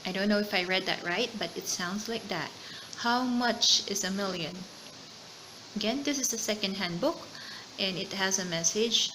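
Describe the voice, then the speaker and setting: A woman hosting a read-aloud of a children's picture book, reading in a deliberate, expressive way with casual chat. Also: mid-range voice, a little hiss or hum, rough recording.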